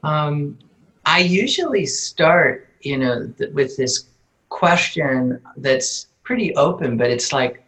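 A man hosting a podcast interview, friendly and quick to laugh, hesitant with fillers when asking questions. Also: a little high, animated, a bit bright, rough recording. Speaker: podcast host. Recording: music playing behind.

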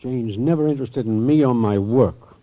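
A man's voice: medium pitch, moderate pace, no room sound, close to an everyday voice. A man playing a scheming small-time hustler boyfriend with cocky, streetwise patter in a muffled 1940s radio sitcom. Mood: affectionate. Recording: muffled old radio with faint noise.

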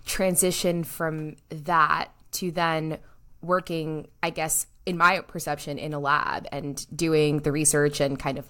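Host: woman, low voice, chatty and rambling, many fillers. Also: fairly bright tone. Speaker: podcast host. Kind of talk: casual interview talk.

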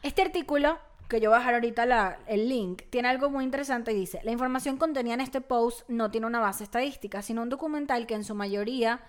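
A woman with a Mexican accent hosting a podcast, chatting casually and reading bits aloud in a relaxed way. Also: on the high side, fast talker, few pauses. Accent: Mexican Spanish. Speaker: podcast host. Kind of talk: casual chat.

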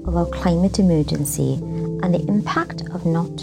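A woman's voice: on the low side, moderate pace, vocal fry, over loud music. A woman presenting a literary showcase, introducing pieces and reading her own reflective writing in a scripted style.

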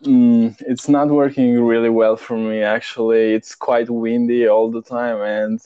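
Young guy as interview guest, frank and plain-spoken, mellow tone, dull dry sound. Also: medium pitch, little pitch movement, a little slow, clean tone, no room sound. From Romania. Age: 20s. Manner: frank, plain-spoken, repeats words while thinking. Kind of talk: casual interview chat.

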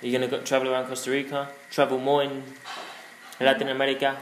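A man's voice: mid-range voice, monotone, quick pace. A man interviewing a traveller, asking curious follow-up questions in a casual chat. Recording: echoey room with faint noise.

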